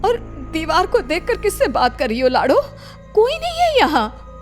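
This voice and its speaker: A woman playing an anxious, doting mother, fretful and pleading in an animated story with music behind. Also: very high-pitched, normal speed, exaggerated voice. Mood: worried.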